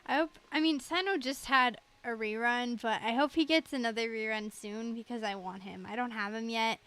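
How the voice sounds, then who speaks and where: A woman's voice: on the high side, moderate pace, fairly bright tone. A woman livestreamer chatting casually over a game, giggly, rambling and self-deprecating. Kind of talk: casual gaming livestream chat.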